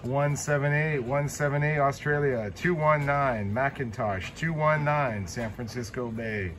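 A man's voice: medium pitch, a little slow. A man at a home radio station calling out call signs in a clipped radio cadence. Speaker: CB radio operator. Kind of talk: CB radio calls. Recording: loud static noise, radio audio.

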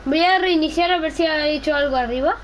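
A woman's voice: sits high, a little fast. A woman talking casually to a teammate during a video game. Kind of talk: casual gaming chat with a teammate. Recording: slightly noisy game voice chat.

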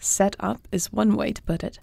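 A woman playing a composed, wary warrior princess in a fantasy audio drama, speaking in a formal, measured way. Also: mid-range voice, steady tone, vocal fry, dark tone, no echo. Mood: guarded. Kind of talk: fantasy audio roleplay acting.

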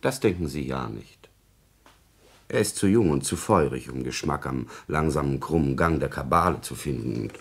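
Husky, low-voiced scheming court secretary. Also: male voice, animated, full character voice. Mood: insinuating, deferential. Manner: insinuating, deferential, deliberate rhetoric. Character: scheming, servile male court secretary, cold and calculating. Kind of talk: 1950s German radio drama acting.

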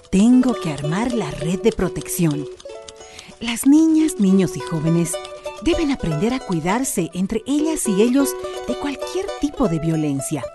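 A woman playing an earnest, authoritative and protective Bolivian police corporal in a radio drama. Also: fairly low pitch. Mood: concerned, reflective. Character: firm, concerned community policewoman, a protective corporal.

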